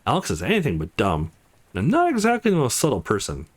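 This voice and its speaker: Man as a smitten, playful young man, very animated. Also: mid-range voice, fast talker, husky. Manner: musing, playful inner monologue. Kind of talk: visual novel character voice.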